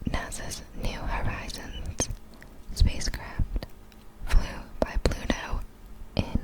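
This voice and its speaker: Slow whispering woman, ASMR. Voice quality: breathy, unvoiced whisper. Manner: halting, apologetic reader, stumbles over hard words. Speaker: ASMR video creator. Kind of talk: whispered ASMR reading of facts.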